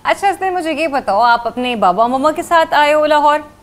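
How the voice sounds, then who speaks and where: A woman's voice: sits high, lively intonation, moderate pace. A woman with a Pakistani accent, hosting a conversational TV interview in a chatty way with short interjections. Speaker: TV interview host.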